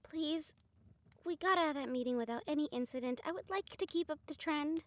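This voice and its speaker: An actress playing a sardonic, defiant young fledgling vampire in an audio roleplay drama, with a wry, sarcastic delivery. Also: female voice, high-pitched, a little fast, natural voice. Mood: wry relief.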